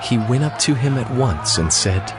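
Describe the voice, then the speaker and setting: A man's voice: medium pitch, lively intonation, normal speed, music in the background, a crowd in the background. A man narrating a dramatized scripture story from a script.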